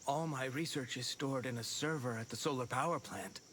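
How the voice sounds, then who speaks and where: An actor playing a guilty, grief-stricken scientist in a video game, earnest and halting. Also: male voice, medium pitch, fairly bright tone, a little hiss or hum, natural voice. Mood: sad, resolved. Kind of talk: video game cutscene acting.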